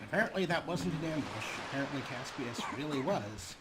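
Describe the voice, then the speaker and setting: A man's voice: mid-range voice, expressive, fairly quick, noisy background. A man doing casual, joking let's play commentary, with dry asides over a video game. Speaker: let's play host and narrator. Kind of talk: casual game commentary, reading dialogue choices aloud.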